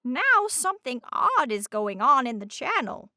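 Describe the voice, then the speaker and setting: Woman voicing a high, watchful lady lighthouse. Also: sing-song, a little slow, sharp tone, no echo, studio quality, full character voice. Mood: concerned, urgent. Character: watchful female lighthouse in charge of the channel. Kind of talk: children's storybook character voice.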